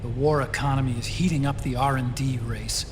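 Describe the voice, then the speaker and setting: An actor playing an earnest, soft-hearted and troubled male tech researcher in a video game. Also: medium pitch, fairly even intonation, brisk, natural voice. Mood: troubled, regretful. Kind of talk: video game cutscene acting. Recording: reverberant space, clear background noise.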